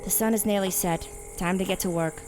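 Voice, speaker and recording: A woman's voice: medium pitch, steady tone, brisk, music in the background, lightly acted. A woman playing a young vigilante killer, cold, defiant and self-righteous, in an audio drama. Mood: defiant, proud.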